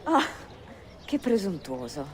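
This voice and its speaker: An actress playing a spirited, curious Italian bookseller in a video game, polite and expressive, with an Italian accent. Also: female voice, lowish voice, measured pace, a little hiss or hum. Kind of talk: video game cutscene acting. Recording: music clearly under dialogue.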